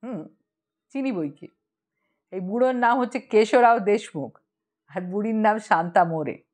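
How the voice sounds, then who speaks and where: A woman's voice: mid-range voice, lively intonation, unhurried, a little mellow. A woman voicing a cheerful, cheeky young man who gossips and teases in an audiobook story. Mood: gleeful gossip. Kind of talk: audiobook character dialogue.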